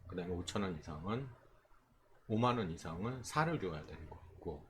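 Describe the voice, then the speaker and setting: A man with a fairly deep voice gives an informal tutorial over noticeable background noise. His intonation moves up and down a lot, and he explains step by step, often breaking off mid-phrase before going on. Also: lively intonation, fairly quick. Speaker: stock trading instructor. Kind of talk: informal screen tutorial, explaining chart settings.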